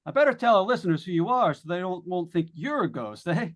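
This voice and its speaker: A man's voice: high voice, big pitch swings, fast talker. An actor playing a breezy, joking male radio host on a ghost hunt, chatty and folksy in old-time radio drama style. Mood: joking, nervy. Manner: chatty, folksy showman delivery. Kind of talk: 1940s live radio drama acting.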